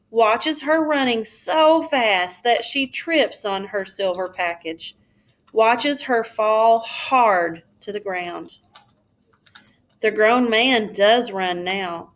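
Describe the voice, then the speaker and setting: Slow-paced woman reading a children's storybook. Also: on the high side, a bit bright. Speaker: elementary school teacher reading aloud. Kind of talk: children's storybook read-aloud.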